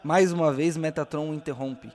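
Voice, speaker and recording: A man's voice: a little high, fairly even intonation, normal speed, darkish tone. A man narrating and hosting an audio role-playing drama as game master.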